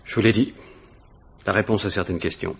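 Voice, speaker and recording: An actor playing a probing, ironic police inspector in a 1950s-style French radio mystery, falsely casual and insistent, with hesitant, insinuating questions. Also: male voice, lowish voice, fairly even intonation, a little fast, vocal fry, husky. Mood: skeptical, probing.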